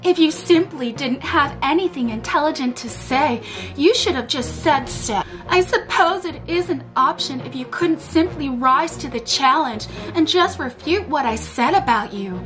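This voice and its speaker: A smug male internet commenter, as a woman acts him out in a high voice. He is condescending and theatrical, patronizing whoever he addresses in a dramatic comment read. Music plays underneath. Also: expressive, moderate pace, rough recording.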